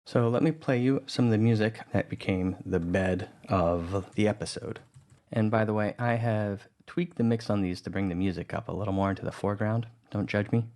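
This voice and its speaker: A man's voice: fairly low pitch, normal speed, darkish tone. A man giving an informal, conversational tutorial voice-over, explaining things as a producer. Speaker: audio drama producer, tutorial presenter.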